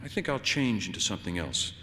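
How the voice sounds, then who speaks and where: Casting: polite, attentive wife in a short story read by a male narrator, solicitous, varied pitch, reverberant recording. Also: very animated, fast talker, bright, forceful tone, a little hiss or hum. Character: polite, attentive wife hosting an old friend. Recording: long hall-like reverb.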